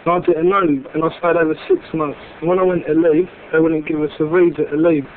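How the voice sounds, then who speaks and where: A young man with a London accent, an army conscript, chats in plain casual slang. His fairly high voice stays flat and even. The audio is thin and tinny, with a faint hiss. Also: fast. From North London. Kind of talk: casual interview answers.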